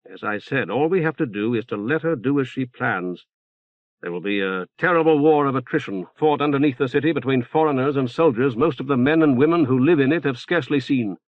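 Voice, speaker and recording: A man reading an audiobook, playing a calm, formal and articulate male leader. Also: medium pitch, a little fast, dry recording, phone-quality sound, natural voice. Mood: reasoned, firm.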